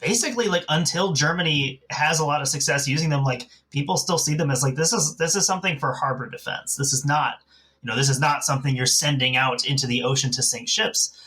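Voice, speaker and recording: A man's voice: on the high side, fairly quick, pushed and bright. A man in a casual podcast discussion, talking in a loose, discursive way with lots of hedging filler. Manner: hesitant, discursive phrasing with frequent "like". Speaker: podcast co-host.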